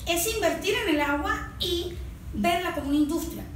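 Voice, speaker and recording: A woman's voice: very high-pitched, moderate pace. A Venezuelan woman explaining a project as an interview guest. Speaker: guest presenting an environmental water project. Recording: echoey room, distant mic, faint noise.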